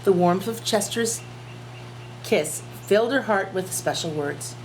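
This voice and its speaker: A woman's voice: mid-range voice, measured pace, a little hiss or hum, low-quality recording. A woman teacher reading a storybook aloud to young children, expressively and with simple explanations.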